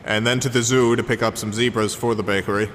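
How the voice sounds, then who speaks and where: A gloomy, bewildered male baker played by a man in improvised comedy. He talks in a halting deadpan at an average pitch. The recording carries long reverb and slight noise. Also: natural voice. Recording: noisy, reverberant room recording.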